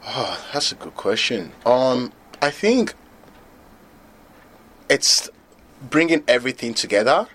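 A man from Nigeria, founder of a pop-up restaurant, talking in a relaxed, loose way as a guest in an interview. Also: medium pitch, slow pace, long pauses. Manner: relaxed, loose, filler-heavy talk with laughs. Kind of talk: casual interview chat.

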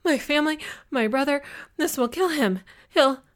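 A woman's voice: high-pitched, normal speed, voice pushed up, close to an everyday voice. A woman voicing a panicked, remorseful young woman in an audiobook, urgent and gasping. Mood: spiraling panic.

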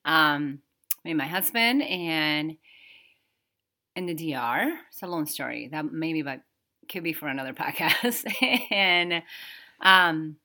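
A Dominican-accented woman in her thirties cohosts a relaxed podcast in English, her second language. Her voice sits low but has a bright, forceful edge. She talks in a halting way, stopping to search for words and laughing easily. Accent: Dominican Spanish. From Dominican Republic. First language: Spanish. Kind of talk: casual podcast conversation.

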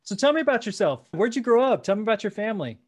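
A man's voice: sits high, very animated, rapid-fire. A man hosting a podcast interview, asking questions in a casual, conversational way.

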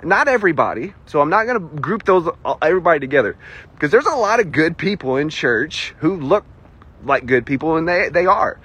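A man's voice: fairly high pitch, fairly bright tone, slightly rough. A man with a Southern American accent teaching the Bible conversationally, with emphatic delivery and rhetorical questions.

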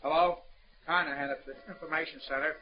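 A man's voice: fairly high pitch, steady tone, a little fast, close to an everyday voice. A man playing a busy, matter-of-fact police sergeant in a 1940s radio drama, brusque and businesslike. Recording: dull old radio recording, crowd behind.

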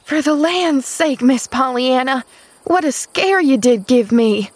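A woman's voice: high voice, lively intonation, measured pace, slightly rough, no room sound, voice pushed up, heavily acted. A woman voicing a fretful, loyal young serving maid in an audiobook, with exclamatory, ungrammatical rustic speech. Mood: scolding relief. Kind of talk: audiobook character voice.

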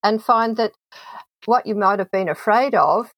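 A woman's voice: medium pitch, unhurried, fairly bright tone, dry recording, low-quality recording. A woman hosting an interview, telling stories in a reflective, conversational way. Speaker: host.

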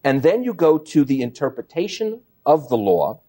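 A man's voice: on the high side, big pitch swings, normal speed, mellow. A man hosting a conversational book interview, explaining things step by step with emphasis. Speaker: interviewer, show host.

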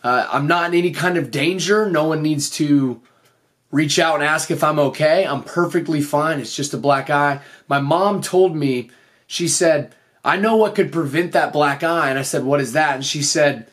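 A man's voice: a little high, normal speed, fairly bright tone. A man hosting a motivational faith podcast in an earnest, encouraging way, his voice slightly off after recently losing it. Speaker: podcast host and teacher.

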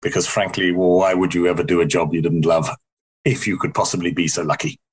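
A middle-aged man from South Africa with a softened South African-English accent, answering in an interview in a rambling, wry way that corrects itself. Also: deep voice, fairly even intonation, fairly quick, breathy edge. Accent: South African softened toward English. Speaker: interview guest, company founder.

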